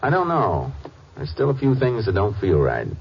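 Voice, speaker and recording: An actor playing a steady American Texas Ranger, questioning in a measured, laconic way, in 1950s radio drama style. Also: male voice, fairly low pitch, vocal fry, slight background noise, full character voice. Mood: thoughtful. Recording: muffled vintage radio recording.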